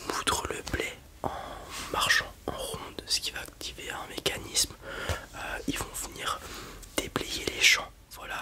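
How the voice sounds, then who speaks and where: Whispering ASMR roleplayer, sex unclear. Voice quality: breathy, unvoiced whisper. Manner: soft-spoken, hesitant, explanatory. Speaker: ASMR performer playing a dragon breeder.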